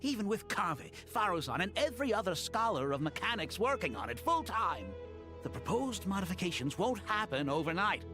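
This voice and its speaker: A woman's voice: medium pitch, very animated, music in the background, natural voice. Woman as a composed, decisive scholar. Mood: grave, resolute. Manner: formal, deliberate, articulate. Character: composed, decisive scholar leading a risky project. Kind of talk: English video game voice acting.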